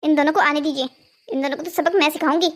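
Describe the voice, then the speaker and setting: A woman acts out a young daughter-in-law from a cartoon household drama. Her voice is very high and girlish. She speaks in a melodramatic, exclamatory way, as someone used to shopping and complaining. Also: fairly even intonation, fast, a crowd in the background, lightly acted. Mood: indignant. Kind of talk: Hindi cartoon family drama dialogue.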